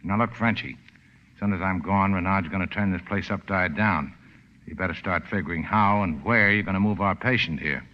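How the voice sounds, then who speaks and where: An actor playing a tough, cynical boat skipper with dry, laconic sarcasm in a 1940s radio drama. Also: male voice, sits low, brisk, slight background noise, natural voice. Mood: tough, businesslike. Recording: dull old radio recording.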